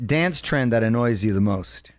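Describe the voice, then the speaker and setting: A man's voice: mid-range voice, animated. Playful man hosting an interview. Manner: playful, teasing, rapid-fire questions. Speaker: host. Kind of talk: casual interview chat.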